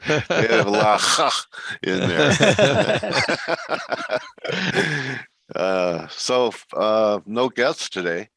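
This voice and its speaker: A man's voice: medium pitch, animated, very slow, creaky, pauses often, fairly bright tone, no echo. A man hosting a live market webinar, trading jokes with his co-hosts in a laid-back way. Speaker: host. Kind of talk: casual webinar banter.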